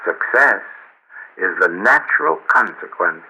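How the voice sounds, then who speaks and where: A middle-aged or older man reading a spiritual teaching aloud in a solemn, measured, deliberate oratorical way. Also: low voice, animated, creaky, sharp tone, slight background noise, poor audio. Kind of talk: read-aloud metaphysical teaching.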